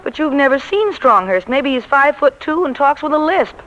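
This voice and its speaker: An actress playing a wry, sharp-witted American woman at a publishing office in a 1940s radio comedy, dry and teasing. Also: high-pitched, very animated, brisk. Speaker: radio drama actor. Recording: muffled 1940s radio recording.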